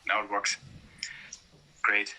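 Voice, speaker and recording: A man's voice: fairly low pitch, completely flat delivery, slow, pushed and bright, low-quality recording. A man hosting a live-stream talk with an art collector, speaking hesitantly with frequent fillers over a video call. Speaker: host of collector talks.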